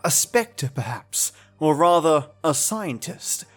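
A man's voice: a little high, very animated, slow, fairly bright tone, faint music behind. A man introducing old radio episodes as a podcast host, in a playful, theatrical way.

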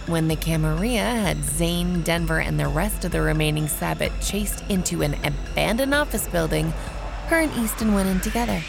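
A woman playing a low-voiced vampire initiate from an audio drama, guarded and brooding. Her delivery is dry and sardonic with a heavy creak, set over clear background music. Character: brooding, cautious female vampire initiate, bitter over lost sire. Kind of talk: audio drama dialogue and first-person narration.

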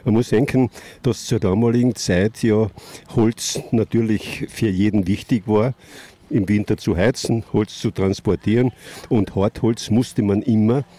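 An older man from Austria with an Austrian accent, reminiscing in an interview and haltingly searching for words. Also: on the low side, steady tone, dark tone. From Austria (Carinthia). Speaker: interview guest, boat rental operator. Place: lakeshore, outdoors.

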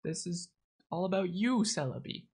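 A woman's voice: on the low side, moderate pace, darkish tone, slightly rough. A woman hosting a live stream, chatting casually with a guest, teasing and swearing often. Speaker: streamer host.

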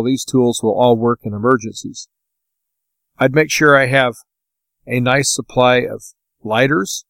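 Guy doing a solo podcast, plain practical advice, medium pitch, really dry close sound. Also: no echo. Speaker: podcast host. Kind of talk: informal advice monologue.

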